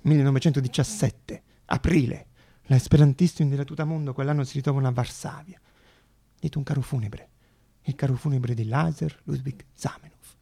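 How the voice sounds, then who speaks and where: A man's voice: medium pitch, steady tone, a little fast, vocal fry, warm tone, breathy edge. A man narrating radio theatre as an actor-storyteller, dramatic and emphatic, repeating phrases for effect.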